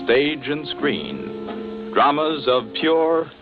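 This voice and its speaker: Formal man announcing over loud music. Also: on the high side, animated. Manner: formal, measured. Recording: dull old radio recording over loud music.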